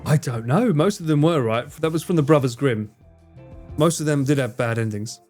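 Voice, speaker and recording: A man's voice: fairly high pitch, expressive, normal speed, darkish tone, soft background music. A young man streaming live, chatting with his viewers and swearing and joking as he plays a game. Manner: sweary, jokey, talks to chat and laughs often. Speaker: livestreamer. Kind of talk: live stream chat while gaming.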